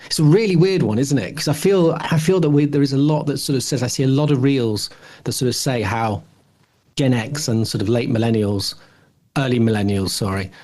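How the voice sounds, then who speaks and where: A man's voice: medium pitch, a little mellow. Rambling middle-aged man, casual podcast chat. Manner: rambling, musing, hedging. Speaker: podcast co-host.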